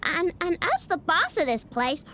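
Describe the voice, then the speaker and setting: A woman's voice: extremely high pitch, big pitch swings, moderate pace, sharp tone, a little hiss or hum, full character voice. A woman voicing a young, stubborn, hot-headed girl inventor in a video game, with a commanding, declamatory manner. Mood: earnest, stubborn. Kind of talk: video game cutscene acting.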